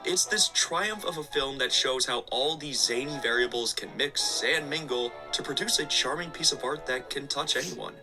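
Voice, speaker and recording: A man's voice: mid-range voice, normal speed, hardly any pauses, rough recording. A man narrating a scripted video essay with casual asides. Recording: background music under the voice. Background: music.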